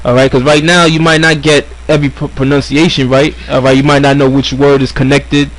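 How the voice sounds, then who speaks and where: A man's voice: mid-range voice, little pitch movement, brisk, rough recording. A man giving casual religious commentary, earnest and repeating himself often. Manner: earnest, repeats words, says "all right" often. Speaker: co-host.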